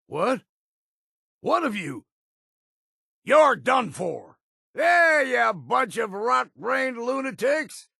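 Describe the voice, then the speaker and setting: An actor playing a gruff, proud, older male island hunter in a video game, with a gravelly, husky voice and folksy, rustic speech. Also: high voice, pushed and bright, no echo, studio quality, heavily acted. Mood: angry shouting. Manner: folksy rustic speech with dropped grammar and ain't. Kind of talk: video game dialogue acting.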